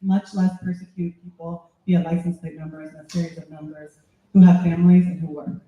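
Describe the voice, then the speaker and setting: A man interpreting a speech at a public meeting in short, measured and halting passages. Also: sits high, flat delivery, mellow. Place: indoor public meeting hall. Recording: echoey room, dull phone-like sound.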